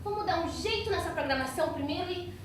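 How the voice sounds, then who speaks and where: A woman's voice: very high-pitched, fast. A woman acting a bossy, dramatic, exasperated radio diva in a stage comedy, with theatrical projected delivery. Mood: indignant. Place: theatre stage during a performance. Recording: echoey theatre stage from far, noisy.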